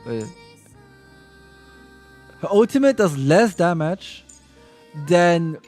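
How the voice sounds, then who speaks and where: A man with a somewhat high voice chats casually on a livestream about a game. He rambles, restarting and correcting himself mid-sentence. Soft music plays quietly behind him. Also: animated, drawn-out words. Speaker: streamer.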